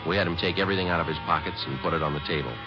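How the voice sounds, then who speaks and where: Over music on a dull old radio recording comes an actor as a police sergeant with a very low male voice. He is terse and businesslike, laying out his case in clipped, flat sentences in the manner of a 1950s crime drama. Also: rapid-fire, natural voice. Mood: stern. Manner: flat, matter-of-fact, clipped. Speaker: narrator and lead actor.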